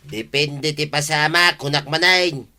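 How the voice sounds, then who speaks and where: A man's voice: a little high, fairly even intonation, a little slow, bright, forceful tone. A man playing an evasive, dismissive husband with curt replies in an Ilocano comedy drama. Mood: evasive, irritated. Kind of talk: Ilocano radio-style comedy drama acting.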